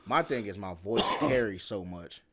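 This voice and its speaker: A man with a deep voice in relaxed, slangy casual chat, laughing often as he tells stories. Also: moderate pace, very noisy. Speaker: rapper and interviewer.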